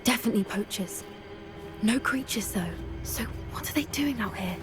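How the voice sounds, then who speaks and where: An actress playing a cautious, sharp young female student witch in a video game, wary and analytical. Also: fairly high pitch, lively intonation, moderate pace, lightly acted. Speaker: student companion. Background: game music.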